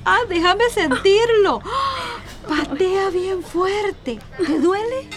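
Very high-pitched, slow-paced Costa Rican mother, affectionate and fussing over her family in a radio soap. She sounds bustling and tender. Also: animated, crowd noise, heavily acted. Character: warm, fussing mother of a pregnant girl. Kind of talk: radio drama acting.

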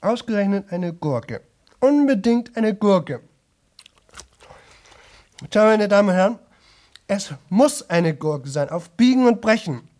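A man's voice: high voice, big pitch swings, slow, pauses often, a little mellow, full character voice. An actor playing a boastful young man who talks nonstop to an audience in a radio play, chatty, rambling and repetitive. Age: young adult.

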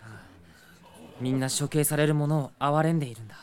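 An actor playing an earnest, gentle young hero prince in a fantasy audio drama. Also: male voice, fairly high pitch, brisk, natural voice. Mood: sombre.

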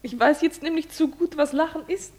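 An actress playing a young, deferential yet cheeky secretary in a German radio play. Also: female voice, high-pitched, fairly quick, a little mellow, lightly acted. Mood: apologetic, playful.

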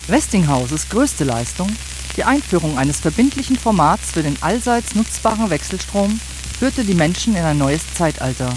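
A man's voice: high-pitched, sing-song, normal speed, background noise. A man reading scripted narration as one of the narrators of an audio drama. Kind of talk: scripted narration over music. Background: music.

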